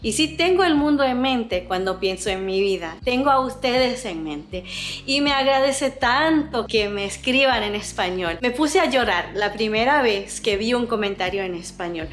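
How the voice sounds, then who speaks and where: A woman's voice: a little high, expressive, moderate pace, fairly bright tone. A woman in her fifties with an American accent who grew up in Latin America, sharing earnest, thoughtful reflections on her personal vlog. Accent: American, with mixed Latin American Spanish. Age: 50s. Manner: earnest, thoughtful, speaks with feeling. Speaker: vlogger, host of a frugal living channel.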